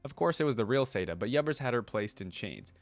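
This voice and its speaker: A man hosting a reaction video, reading a story aloud with animated delivery and breaking off for casual comments. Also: mid-range voice, fast, no room sound, faint music behind.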